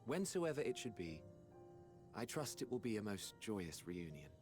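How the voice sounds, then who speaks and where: Gentle, courteous game character, lively intonation. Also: male voice, on the low side, normal speed. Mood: tender, hopeful. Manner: gracious, formal. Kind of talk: dramatic video game acting. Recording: music under the voice.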